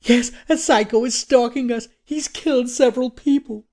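A man's voice: high-pitched, normal speed, mellow, pitched above the performer's own voice, lightly acted. A man voicing frightened young women on the run in a horror story, anxious and pleading. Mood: desperate.

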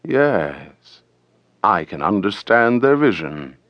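A man voicing a wise old king in an audiobook, speaking in a measured, knowing manner. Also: fairly low pitch, very animated, no echo, dull audio. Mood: calm, musing. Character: wise old king musing on the enemy's trophy.

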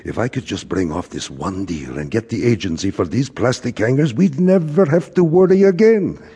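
A man's voice: medium pitch, expressive, moderate pace, warm tone, slightly rough. An actor playing a wistful, middle-aged immigrant father who philosophizes and repeats himself in an audio drama. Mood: wheedling, musing. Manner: rhetorical, repetitive, philosophizing. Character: wistful, rambling immigrant father, a frustrated commercial traveler. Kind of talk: theatrical audio drama acting. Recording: reverberant hall stage recording.

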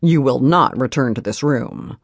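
A woman's voice: extremely low pitch, unhurried, vocal fry, slightly rough, dry recording, heavily acted. A woman voicing a stern, massive warrior husband who growls curt commands. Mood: growling.

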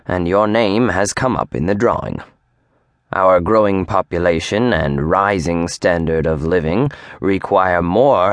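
A man's voice: low-pitched, dry recording, clean studio sound. A man voicing a stern, pompous male demon provost with a grating, officious voice.

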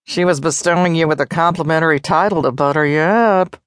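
Lady doing a cheeky little skunk dude, low voice, matter of fact, audiobook. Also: a little fast, no echo, clean studio sound, natural voice. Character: wiry little male skunk shifter, cheeky, explanatory.

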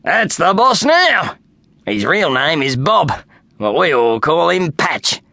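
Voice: mid-range voice, sing-song, takes it slowly, pushed and bright, dry recording, exaggerated voice. A storybook reader voicing a friendly, ragged Australian workman who moves houses, cheerful and matter-of-fact. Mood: confident. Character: friendly ragged grown man, house-moving worker.

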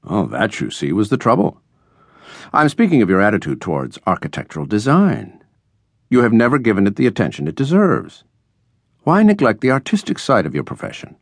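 A man voicing a stuffy, formal older male college dean who speaks in measured, disapproving phrases for a storybook. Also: fairly low pitch, huge pitch swings, no room sound, exaggerated voice. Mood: lecturing, exasperated.

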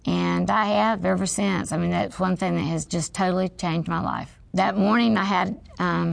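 A woman with a raspy, deeply gravelly voice that sits a little low, recounting her experience as a patient in a personal testimony. She talks in a halting, reflective way, pausing mid-sentence, and the recording is close and dry with no room sound. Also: no echo. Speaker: patient sharing her story.

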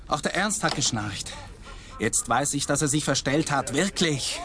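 A man's voice: fairly high pitch, animated, measured pace, a crowd in the background. An actor playing an anxious, guilt-ridden young man in a radio drama, explaining himself earnestly. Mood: guilty, pleading. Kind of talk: German radio drama acting.

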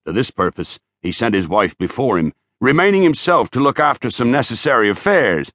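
A man's voice: medium pitch, big pitch swings, a little fast, fairly bright tone, dry recording. A man voicing a talkative, self-righteous Puritan townsman in an audiobook, speaking in an emphatic, old-fashioned, declamatory way. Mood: gossipy, emphatic.

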